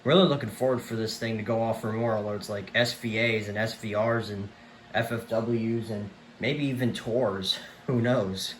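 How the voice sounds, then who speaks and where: Halting man over clear background noise. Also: on the low side, fairly even intonation, reverberant, low-quality recording. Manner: halting, unscripted, trailing off. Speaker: collector presenting a home video tour. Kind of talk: casual show-and-tell vlog. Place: room at home among many radios. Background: weather radio broadcast playing.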